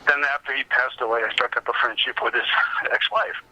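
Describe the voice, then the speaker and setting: A man's voice: medium pitch, steady tone, a little fast, bright, forceful tone. A middle-aged man being interviewed, telling casual anecdotes from his own experience as a researcher and collector. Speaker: interview guest, comic book researcher and collector. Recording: dull lo-fi phone-like call audio.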